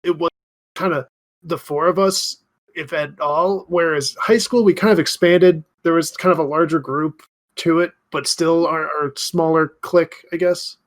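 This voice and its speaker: Male podcast co-host, 30s, fairly high-pitched voice, loose conversational delivery. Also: fairly even intonation, moderate pace. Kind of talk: casual chat.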